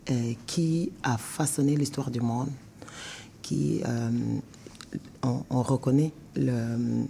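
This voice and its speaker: A woman with a Senegalese accent, an academic guest explaining terms in a measured, didactic way, step by step. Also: rumbling low, vocal fry, dark tone, slight background noise. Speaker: law professor, expert guest. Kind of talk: explanatory interview answers.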